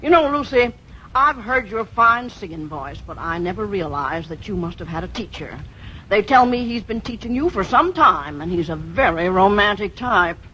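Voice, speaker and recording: A woman's voice: medium pitch, sing-song, moderate pace, bright, forceful tone. An actress playing a folksy, needling, gossipy older country mother in radio comedy. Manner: folksy, pointed. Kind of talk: 1930s-40s radio comedy acting. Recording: muffled, noisy old radio broadcast.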